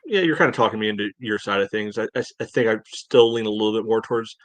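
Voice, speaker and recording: A man with a fairly low voice in a dry recording discusses sports as a podcast co-host. He speaks casually but carefully, weighing things up and qualifying his points as he goes. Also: no room sound. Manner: measured, hedging, reasoning aloud.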